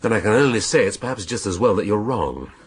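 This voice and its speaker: An actor playing a shrewd British police inspector in a radio drama, questioning in a measured, drily needling way. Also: male voice, fairly low pitch, lively intonation, husky. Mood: firm, explaining.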